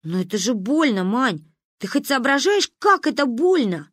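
A woman's voice: a little high, very animated, moderate pace, fairly bright tone, breathy edge, dry recording, heavily acted. A woman voicing a timid, easily hurt young girl in a story, worried and protesting.

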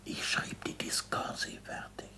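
An older actor playing a weary, disgraced scientist in a German radio play, guarded and bitterly ironic, with a gravelly, often hushed voice. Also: male voice, measured pace, whispered, natural voice. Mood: hushed, confiding.

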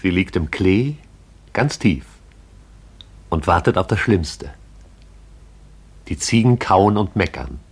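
An actor with a husky voice as the poetic, all-seeing narrator of a radio play. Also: male voice, low-pitched, animated, slow, pauses often, full character voice. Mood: lyrical, wry.

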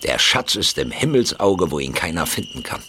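A man's voice: low-pitched, big pitch swings, moderate pace, gravelly, bright, forceful tone, heavily acted. A man playing a sceptical, commanding police chief in an audio drama. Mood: reasoning, surprised. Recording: faint noise, chirping crickets.

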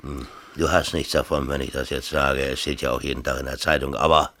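An actor in a 1970s East German radio play, playing a harried but jovial middle-aged local official who talks colloquially and hesitantly, with many tag questions. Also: male voice, a bass voice, flat delivery, a little fast, bright, forceful tone, no echo. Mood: earnest, coaxing.

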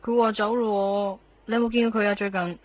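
A woman's voice: mid-range voice, steady tone, a little fast, lightly acted. An actress playing a wistful young woman in a radio drama, tentative and questioning, with a Hong Kong Cantonese accent. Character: wistful young woman pining for a man who left. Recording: muffled radio broadcast under loud music.

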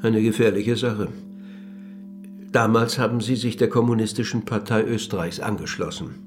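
Actor as low-voiced, courteous, probing male interviewer. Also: steady tone, moderate pace, soft background music, lightly acted. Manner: polite, probing, ironic. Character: inquisitive male interviewer, courteous, persistent and gently mocking. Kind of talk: radio play dialogue.

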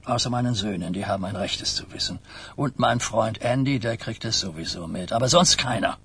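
Radio crime drama: an aging New York godfather, husky and deliberate, weary of secrets, guarded yet courteous. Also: fairly low pitch, a bit bright. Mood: businesslike, confiding. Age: older. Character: old New York mafia boss, burdened, wary, courteous. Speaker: actor.

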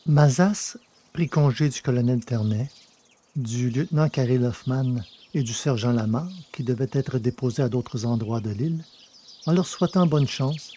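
A man's voice: medium pitch, warm tone, a little hiss or hum. A man reading scripted science-fiction story narration.

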